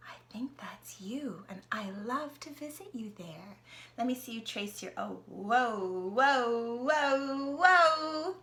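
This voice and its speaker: A young woman reads an alphabet picture book aloud to her niece, playful and animated, often dropping to a whisper. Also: on the high side, takes it slowly. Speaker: aunt reading to her niece.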